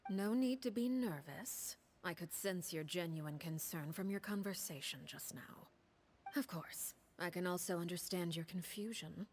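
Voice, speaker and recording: A woman voicing a calm, commanding woman who leads a household of orphans, speaking in a soft, breathy half-whisper in a video game. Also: fairly low pitch, a little hiss or hum, close to an everyday voice. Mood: composed. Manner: calm, measured, courteous. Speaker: game character called Father.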